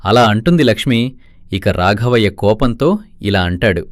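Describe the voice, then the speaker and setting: A man narrating a cartoon family moral story in a lively storytelling way. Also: on the low side, normal speed, no room sound, studio quality.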